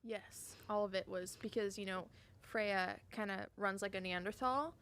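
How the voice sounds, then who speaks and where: A woman chatting casually as a game developer guest, agreeable and halting, often repeating her words. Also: medium pitch, a bit bright. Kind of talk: casual panel chat. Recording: faint background noise and ambience.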